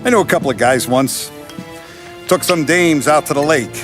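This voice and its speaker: A man playing a calm, commanding American Mafia boss in a crime video game, speaking deliberately and with quiet menace. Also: on the high side, over music, close to an everyday voice. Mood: veiled menace. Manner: deliberate, authoritative, veiled threats. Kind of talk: cinematic crime game acting.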